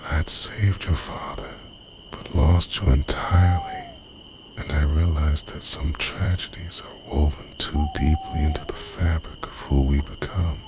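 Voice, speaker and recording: A man's voice: rumbling low, wildly swooping pitch, measured pace, noisy background, heavily acted. A man playing an obsessively devoted time-travelling boyfriend in an intimate, earnest, half-whispered ASMR roleplay. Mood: grave, confessional. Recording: night crickets and owl behind voice. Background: night insects, crickets and hooting owl.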